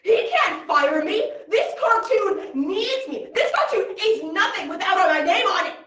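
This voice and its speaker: A woman playing a self-important female cartoon voice actress in a comic stage monologue, with theatrical swings in pitch and loudness. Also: sing-song, measured pace, heavily acted. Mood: diva. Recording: roomy, from a distant mic.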